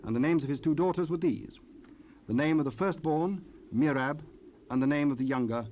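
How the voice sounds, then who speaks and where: A man narrating scripture from a script in a solemn, measured manner. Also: on the high side, a little mellow. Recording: noisy cassette rip, ambient sound.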